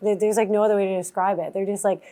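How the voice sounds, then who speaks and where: A woman's voice: little pitch movement, very fast, dark tone. A woman venture investor answering audience questions in casual, chatty talk, filling her speech with "like" and acting out other people's lines. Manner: chatty, heavy use of "like" fillers; acts out founders' lines in a higher voice.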